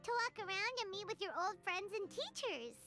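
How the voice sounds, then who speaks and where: An actress voicing a tiny, chirpy fairy companion in a video game, with a squeaky childlike voice. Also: female voice, lively intonation, brisk, pushed and bright, soft background music, full character voice. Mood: curious, perky. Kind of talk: video game dialogue acting.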